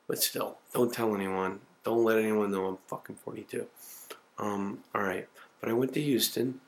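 A man's voice: on the low side, steady tone, moderate pace. A man in his forties rambling in a profane, self-mocking way to his home camcorder. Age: 40s. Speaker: video diarist. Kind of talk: casual self-recorded monologue.

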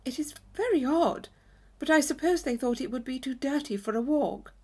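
Woman playing naive young lady, high voice, eager, anxious, earnest, pleading, storybook heroine, animated. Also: moderate pace, breathy edge. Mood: hesitant, anxious.

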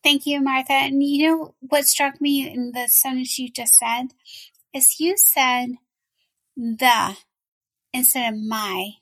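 A woman hosts an interview podcast, her voice bright and a touch high in pitch. She asks her questions gently and thoughtfully, building on what her guest says. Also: fairly even intonation, slow, rough recording. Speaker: podcast host.

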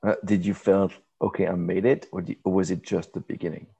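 A man interviewing a guest in a relaxed, encouraging way, prompting him with questions and frequent murmurs of agreement. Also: deep voice, fairly quick, vocal fry, husky. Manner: encouraging, with many backchannel murmurs. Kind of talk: conversational interview.

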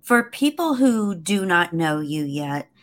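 A woman's voice: on the low side, sing-song, takes it slowly. A woman hosting a casual podcast interview, upbeat and encouraging toward her guest. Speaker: podcast host.

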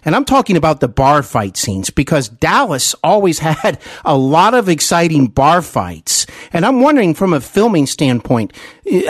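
A man's voice: on the high side, no room sound. Enthusiastic male podcast host, close dry sound. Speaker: podcast host interviewing an actor. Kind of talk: conversational interview with long, detailed questions.

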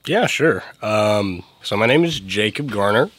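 A man's voice: on the low side, animated, a little slow, fairly bright tone, no room sound. A man in his twenties co-hosting a casual podcast, easygoing and laughing with many fillers. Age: 20s. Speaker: podcast co-host.

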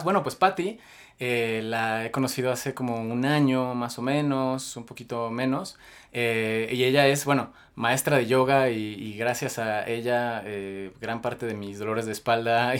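Rambling Mexican podcast host. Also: male voice, mid-range voice, a bit bright, clear, resonant tone. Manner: rambling, filler-heavy introductions. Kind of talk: casual podcast interview.

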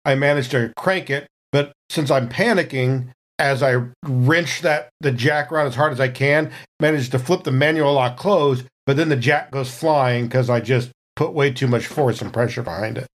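A man's voice: mid-range voice, moderate pace. A man playing a tabletop role-playing game, chatting casually and thinking aloud as he tentatively talks through his moves.

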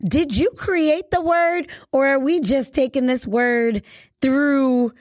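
A woman's voice: high voice, fairly even intonation, slow, no echo, studio quality. A woman hosting a radio interview in a casual, slangy, celebratory style, effusive and admiring in an informal way. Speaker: radio show host.

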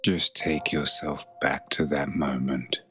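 A man narrating a guided meditation in a soothing, measured way, leaving long pauses between phrases. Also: very deep voice, fairly even intonation, noisy background.

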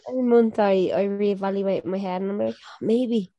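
A young woman co-hosting a casual podcast, her voice mellow and a little stuffed up from a cold. She rambles along with plenty of "like" and "um". The sound is dry and close. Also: mid-range voice, fairly even intonation, brisk, no echo, lo-fi sound. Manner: chatty, rambling with fillers like "like" and "um". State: stuffy, blocked nose. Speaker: podcast co-host. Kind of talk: casual chat.

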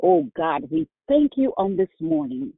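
A woman's voice: medium pitch, big pitch swings, a little slow, vocal fry, breathy edge, dry recording. A woman leading a spontaneous prayer on a phone prayer line, fervent and repetitive, with frequent filler sounds between her cries. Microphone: telephone. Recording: dry tinny phone line.

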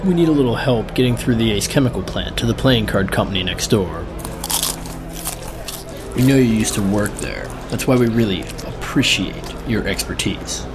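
Smooth-talking crook played by a man, lowish voice, false friendliness, noisy ambience, audio drama. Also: expressive, normal speed, natural voice. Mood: falsely friendly.

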